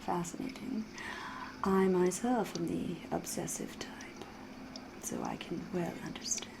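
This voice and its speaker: A woman playing a sly, elegant, flirtatious vampire in a soft-spoken, half-whispered ASMR roleplay, with genteel phrasing and teasing pauses. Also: lowish voice, huge pitch swings, creaky, exaggerated voice. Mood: probing, teasing.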